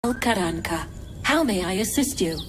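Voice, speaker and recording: A woman's voice: mid-range voice, expressive, moderate pace, music in the background, lightly acted. A woman voicing a polite, helpful artificial intelligence guide in a video game, formal, precise and obliging. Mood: helpful, composed. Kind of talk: video game cutscene acting.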